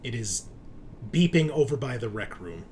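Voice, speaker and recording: A man's voice: mid-range voice, very animated, normal speed, darkish tone, a little hiss or hum. A man narrating a tabletop horror game as game master in a casual, conversational way.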